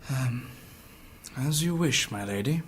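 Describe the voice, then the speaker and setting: A man's voice: mid-range voice, animated, slow, slight background noise. A man playing a grave, guarded adventurer in a fantasy audio drama, speaking in solemn, formal, old-fashioned phrasing. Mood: stern, resigned. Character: grave battle-worn adventurer recounting past horrors, courteous, guarded.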